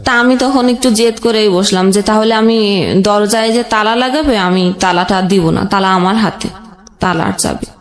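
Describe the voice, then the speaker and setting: A young woman with a Bangladeshi accent telling her own life story conversationally, in a halting, plain way with frequent rephrasing. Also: medium pitch, steady tone. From Jashore region, Bangladesh. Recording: roomy echoing sound.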